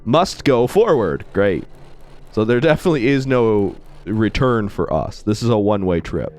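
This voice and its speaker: Male let's-play host, lively swinging voice, playful rambler, voice still recovering, faint music behind. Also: fairly low pitch, moderate pace. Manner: playful, rambling, self-mocking. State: recovering from losing his voice. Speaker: gaming channel host.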